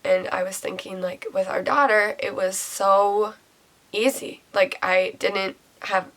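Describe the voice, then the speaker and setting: A young woman talks straight to her audience in a personal vlog, her voice nasal and raspy from a cold. She speaks at an ordinary pitch, pausing often and sounding close to tears. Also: steady tone, a bit bright, low-quality recording. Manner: emotional, close to tears, halting with many pauses. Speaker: vlogger.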